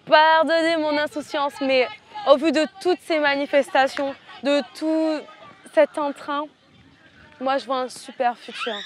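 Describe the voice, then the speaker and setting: A woman's voice: sits high, slight background noise. A teenage woman from French Guiana, a student activist leader, giving impassioned, rhetorical interview answers outdoors. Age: teens. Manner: impassioned, rhetorical, repeats phrases.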